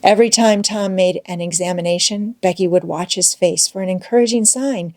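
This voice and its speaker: A woman reading a classic novel aloud as an audiobook narrator, with expressive, dramatic delivery. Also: lowish voice, fairly quick, no echo. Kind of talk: scripted storytelling narration.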